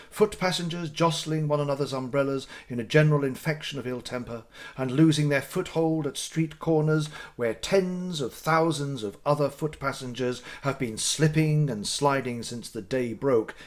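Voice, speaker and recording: Guy narrating an old classic novel, medium pitched voice, dramatic and deliberate, pauses for effect. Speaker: audiobook narrator.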